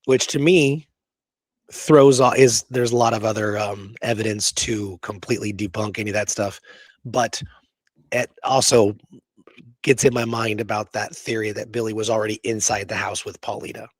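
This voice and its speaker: A man's voice: fairly low pitch, fairly even intonation, normal speed, no room sound. A man hosting a casual history talk from notes, speaking in an emphatic, conversational way and often checking in with the listener. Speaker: podcast host.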